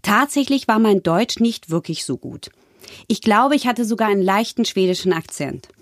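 A woman from Sweden, a voice actress, chatting in an interview and telling lively stories, often acting out other voices. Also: mid-range voice, normal speed, no echo, studio quality. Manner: lively storyteller, mimics voices and accents. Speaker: voice actress, guest. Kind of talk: casual interview chat.

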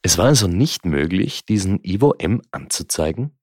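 A man co-hosting a true-crime podcast, calmly recapping a complicated case for listeners. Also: low-pitched, moderate pace, breathy edge, no room sound, faint music behind. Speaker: podcast co-host.

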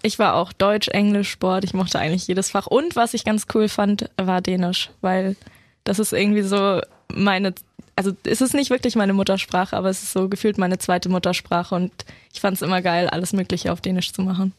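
A woman's voice: medium pitch, fairly even intonation, normal speed, no room sound, studio quality. A woman hosting an informal round of conversation, asking questions and chatting casually. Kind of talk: casual chat.

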